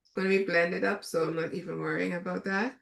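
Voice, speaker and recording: A Trinidadian woman chef giving a relaxed, chatty cooking demonstration, with many fillers. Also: on the low side, quick pace, gravelly, reverberant.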